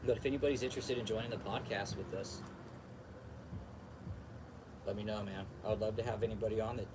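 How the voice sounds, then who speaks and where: An American man with a fairly low voice hosts a casual livestream. He talks straight to his viewers in loose, slangy bro-talk, repeating himself and swearing freely. There is clear background noise under his voice. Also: fairly even intonation, fast talker. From California, United States. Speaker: podcast and livestream host.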